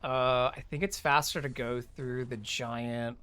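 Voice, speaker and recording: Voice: medium pitch, steady tone, unhurried, a bit bright, smooth, faint music behind. A chatty, informal streamer thinking aloud and explaining each step while playing through an online game. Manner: chatty, informal, mild swearing. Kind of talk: casual game walkthrough commentary.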